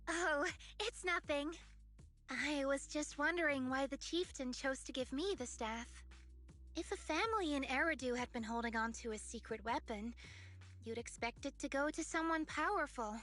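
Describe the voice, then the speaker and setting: A woman's voice: sits high, normal speed, fairly bright tone, faint music behind. A woman voicing an earnest, curious young tribeswoman in an anime-style game. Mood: reflective, unsure.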